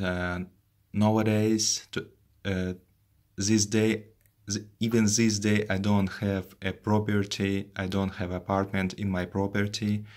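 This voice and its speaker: Slow, deep-voiced Russian man in his twenties. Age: 20s. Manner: halting, with many fillers and repeated words. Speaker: vlogger. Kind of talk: personal story to camera.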